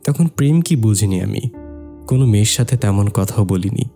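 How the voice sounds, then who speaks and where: A man playing a low, mellow young storyteller. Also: a little fast, creaky. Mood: nostalgic. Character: young village man, loyal, nostalgic friend and narrator. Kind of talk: dramatized story narration in an amateur cartoon. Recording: music behind the voice.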